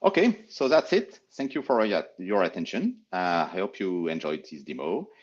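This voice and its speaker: Deep French-accented man giving a demo. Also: sing-song, moderate pace, roomy sound, dull audio. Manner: calls out voice commands between explanations. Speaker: conference speaker. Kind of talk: live demo talk.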